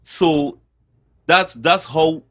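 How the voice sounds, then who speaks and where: A man's voice: on the high side, extremely slow, a bit bright. A man with a Guyanese accent, a soca artist guest telling how his group got its name. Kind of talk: casual storytelling.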